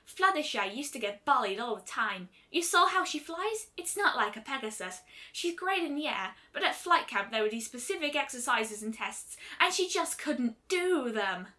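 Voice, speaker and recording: A woman acts out a stubborn, protective young female pegasus in a story reading. The voice is high and forceful, with big swings in pitch. The delivery is blunt, slangy and emphatic. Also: sing-song, moderate pace, few pauses, full character voice. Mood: earnest, frustrated.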